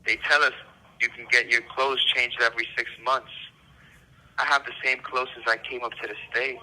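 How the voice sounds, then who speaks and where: A man's voice: medium pitch, little pitch movement, normal speed, bright, forceful tone. A man on a prison phone line, giving blunt, aggrieved testimony as an inmate in short, emphatic, repeated phrases. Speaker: prison inmate being interviewed. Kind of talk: casual, blunt personal testimony. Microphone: telephone.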